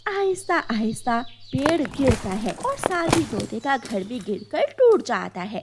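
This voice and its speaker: A woman narrating a children's cartoon story in a steady, clear way. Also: a little high, unhurried, background noise.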